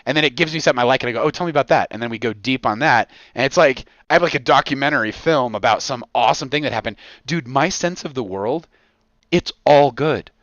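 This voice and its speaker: An American man in his forties with a mid-pitched voice co-hosts a casual comedy podcast. He riffs in slangy, sweary, exaggerated bursts. The recording is dry and a little lo-fi. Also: fast, dry recording. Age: 40s. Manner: excitable, profane, slangy riffing with exaggeration. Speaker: podcast co-host.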